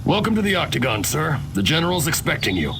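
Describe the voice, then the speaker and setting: An actor with an American accent playing a brusque, wisecracking gate guard in a video game, clipped and offhand. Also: male voice, fairly high pitch, very animated, fast, vocal fry. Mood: formal. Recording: noisy outdoor game ambience with birdsong.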